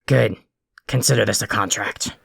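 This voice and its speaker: An actor performs a cranky alien troll for an audio drama. The voice is gravelly and full of creak. The delivery is bossy, pompous and scathing, like someone forever fed up. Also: mid-range voice, normal speed, music in the background. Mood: bossy, grim. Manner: ranting, insulting, pompously wordy. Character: irritable, foul-mouthed, bossy alien troll, self-important.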